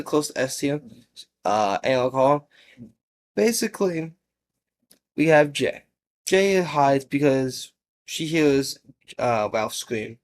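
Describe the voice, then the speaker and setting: A man talks through horror videos in a casual, unscripted way. His voice sits at a medium pitch. He rambles and halts, often reworking a sentence partway through as a commentator thinking aloud. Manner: rambling, halting, rephrases mid-sentence.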